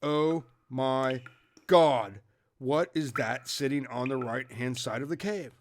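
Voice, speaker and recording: A man's voice: mid-range voice, slow, natural voice. A man playing a laid-back, complaining dude in a comic audio drama. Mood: mock amazement. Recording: music behind the voice.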